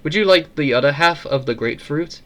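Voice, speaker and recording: A man's voice: a little high, lively intonation, brisk, a bit bright. A man voicing a caring, approving mother in a storybook reading, with a chatty manner. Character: attentive, approving mother of a teenage girl.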